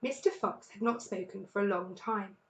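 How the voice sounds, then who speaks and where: A woman teacher reading a children's storybook aloud with expressive narration. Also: mid-range voice, moderate pace, reverberant.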